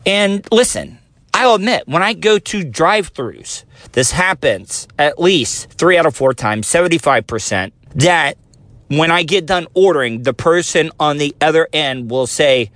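A man's voice: a little high, lively intonation, unhurried, a bit bright, dry recording. A man in his forties with a thin voice, hosting a casual solo podcast, rambling and self-deprecating, recorded on a phone. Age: 40s. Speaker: podcast host.

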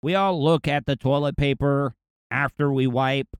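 A man hosting his own podcast, ranting in a casual, crude, animated monologue. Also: medium pitch, moderate pace, smooth, dry recording. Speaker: podcast host.

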